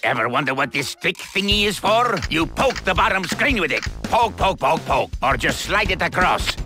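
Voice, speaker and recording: A man's voice: high voice, very animated, normal speed, bright, forceful tone, faint music behind, full character voice. A man voicing a greedy, boastful cartoon villain in a video game, with an exaggerated, bossy, gloating delivery. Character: greedy, boastful, cackling middle-aged male game villain. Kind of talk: animated video game character acting.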